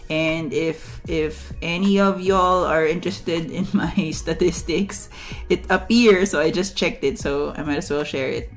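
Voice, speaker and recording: Low, unhurried woman streamer. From Philippines. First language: Tagalog. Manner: rambling, halting, many fillers and restarts. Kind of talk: casual chat to stream viewers. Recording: faint music behind.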